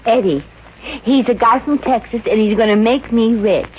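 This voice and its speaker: A woman's voice: a little high, moderate pace, natural voice. An actress playing a sassy, wisecracking young working girl in a 1950s radio comedy. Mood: excited, confiding. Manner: wry, sassy, snappy comebacks. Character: wisecracking, dreamy young working-girl boarder, brassy and quick. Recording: muffled, noisy old radio recording.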